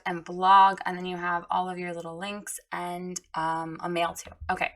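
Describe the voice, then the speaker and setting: A woman with a hoarse, froggy voice giving a frank, chatty critique of a website, with many ums and restarts. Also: on the low side, fairly bright tone. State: hoarse, recovering from lost voice. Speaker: website reviewer giving feedback. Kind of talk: casual spoken critique.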